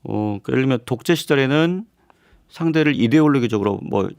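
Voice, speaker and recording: A man speaking as a guest professor on a radio current-affairs program. He explains his points in a measured, analytical way and now and then pauses on fillers. The studio sound is close and dry. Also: medium pitch, animated, creaky, darkish tone, clean tone, no room sound. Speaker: political science professor guest. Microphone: studio microphone.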